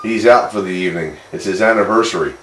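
A man chatting casually as a hobby radio operator, explaining things in a rambling, halting way. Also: deep voice. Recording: roomy shack with steady background noise.

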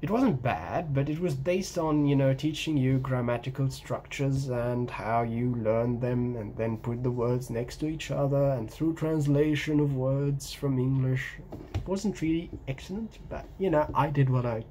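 A man's voice: mid-range voice, unhurried, few pauses, dark tone, rough recording. Young Egyptian man, hesitant casual vlog delivery, medium voice, slight background hiss. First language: Egyptian Arabic. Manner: hesitant, many ums and restarts, gestures while talking. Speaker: language-learning vlogger. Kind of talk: casual talking-head vlog. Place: small room at home by a window.

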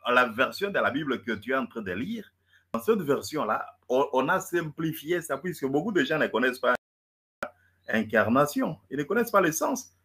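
A man's voice: medium pitch, very animated, normal speed, fairly bright tone, breathy edge. A man debating in French, which is not his first language, stammering and repeating words as he lectures. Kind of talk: heated live debate.

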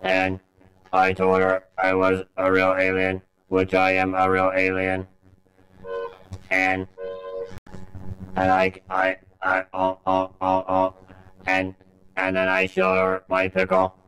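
A man's voice: sits low, monotone, slow, pauses often, fairly bright tone, far from the mic, faint music behind, heavily acted. A man voicing a crude, leering male alien who boasts, stammers and cackles in a comic sketch. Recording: roomy echo.

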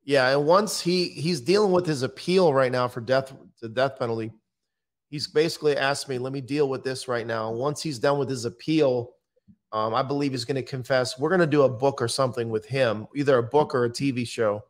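American male podcast guest, middle-aged, mid-range pitch, matter-of-fact and conversational. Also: brisk. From United States. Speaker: podcast guest, true-crime interviewer and author. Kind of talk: conversational interview talk. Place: indoor room, on a video call. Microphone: microphone on boom arm.

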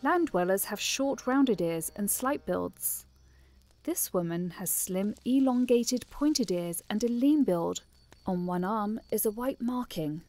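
A woman narrating a fantasy audio drama as a scripted story, in a measured, descriptive manner. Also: mid-range voice, expressive, darkish tone. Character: female narrator of a fantasy adventure.

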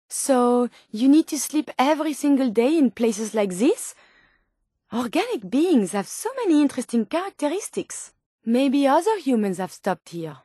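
High-pitched, inquisitive robot scientist lady. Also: warm tone, no room sound, studio quality. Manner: precise, formal, bookish phrasing. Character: curious, polite, naively earnest female robot scientist. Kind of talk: video game companion dialogue.

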